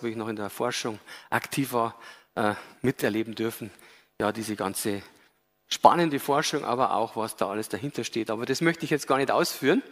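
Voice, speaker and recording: A man's voice: mid-range voice, a bit bright. A man in his fifties with a Bavarian accent with an Austrian tinge, giving an earnest, explanatory public lecture with frequent hesitation sounds. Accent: Bavarian with a slight Austrian tinge. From Bavaria, Germany. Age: 50s. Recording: long reverb of a lecture hall.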